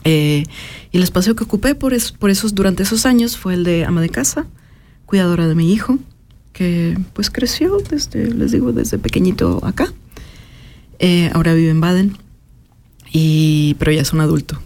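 A woman, talking thoughtfully as an interview guest and pausing often with filler sounds. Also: lowish voice, lively intonation, warm tone. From Mexico. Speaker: interview guest, artist and educator. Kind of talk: personal reflective conversation.

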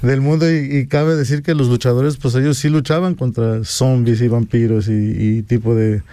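A man's voice: mid-range voice, normal speed, dark tone, no room sound. A Mexican man in his forties chatting as an interview guest, often ending phrases with a questioning tag. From Pachuca, Mexico. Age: 40s. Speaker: guest rapper. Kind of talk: casual interview talk.

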